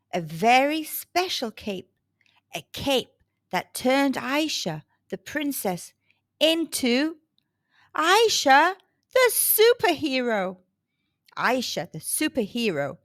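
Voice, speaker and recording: A woman reads a children's storybook in a high, very animated voice. She takes her time and leans on key words dramatically, with a close, dry sound. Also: no echo. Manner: dramatic, deliberate emphasis. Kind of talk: scripted storybook narration for kids.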